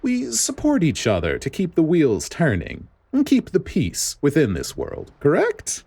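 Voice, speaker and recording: A man's voice: on the high side, huge pitch swings, unhurried, creaky, darkish tone, breathy edge, voice pushed up, exaggerated voice. A man voicing a grating, condescending government director in an audiobook-style story, with dramatic, sugary fake pleasantness. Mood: sugary, wheedling.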